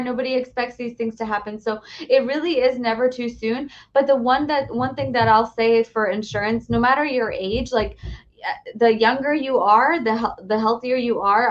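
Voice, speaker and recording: Earnest woman explaining with a dull, lo-fi sound. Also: fairly high pitch. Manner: earnest, reassuring, explains plainly. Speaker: health insurance agent, podcast guest. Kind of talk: casual interview chat.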